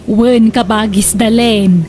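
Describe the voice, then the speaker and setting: A woman's voice: medium pitch, steady tone, slow pace, darkish tone, natural voice. A Filipino woman playing a chummy, inquisitive village gossip in a comedic radio drama, chatty and full of interjections. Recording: faintly noisy radio drama track.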